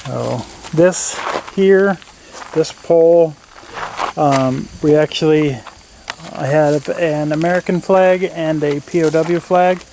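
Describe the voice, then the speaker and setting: A man's voice: a little high, slow, a little mellow, slight background noise, rough recording. A man giving casual hands-on commentary as host of a workshop build video, plain-spoken and thinking aloud.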